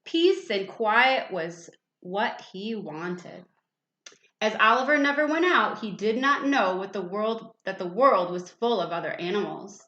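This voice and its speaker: A woman reading a storybook aloud to young children in an expressive, animated way, adding little questions and asides for the listeners. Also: medium pitch, reverberant, highs cut off.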